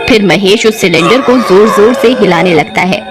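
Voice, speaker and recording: A woman's voice: medium pitch, moderate pace, over music. A woman reading scripted narration for a cartoon ghost story.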